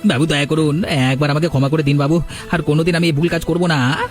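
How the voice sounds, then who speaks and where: A man's voice: a little high, fairly even intonation, fast, lightly acted. Guy doing a theatrical scheming village bully for a cartoon, blustery, music behind him. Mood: pleading. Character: scheming male village bully, threatening, later grovelling for mercy. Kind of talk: cartoon voice acting in a village drama.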